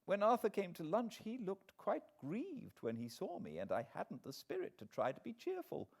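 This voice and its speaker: A man's voice: high voice, wildly swooping pitch, moderate pace, vocal fry, voice pushed up, exaggerated voice. A British man voicing a frail, anxious young woman who reads her diary in a weary, plaintive way, for an audiobook. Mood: weary, subdued. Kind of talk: dramatised audiobook diary reading.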